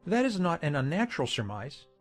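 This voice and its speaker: A woman voicing a genial, formal gentleman colonel in a measured, understated way for a dramatized audiobook. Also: sits low, very animated, faint music behind. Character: genial gentlemanly English colonel, adult man, lightly jesting. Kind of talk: dramatized audiobook character dialogue.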